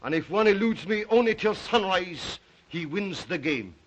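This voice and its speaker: An actor playing a suave, psychotic aristocratic hunter of men, courteous and menacing in an old 1930s melodrama style. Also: male voice, high-pitched, very animated, normal speed, poor audio. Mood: gloating. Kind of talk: 1930s melodrama film acting.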